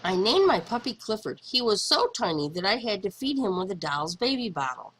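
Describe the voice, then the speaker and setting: A woman narrates a picture book for young children with very wide, swooping intonation. Her voice is bright and a little husky, and she leans on words dramatically, drawing them out for emphasis. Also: mid-range voice, big pitch swings, brisk. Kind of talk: expressive read-aloud narration for young children.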